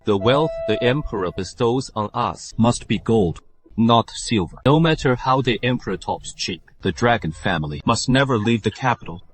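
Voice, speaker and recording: An actor playing a proud, blunt ancient ancestor reborn, curt and commanding, in an anime dub. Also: male voice, medium pitch, animated, moderate pace, close to an everyday voice. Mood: defiant. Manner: curt, imperious. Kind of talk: dubbed anime motion-comic acting. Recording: music behind the voice.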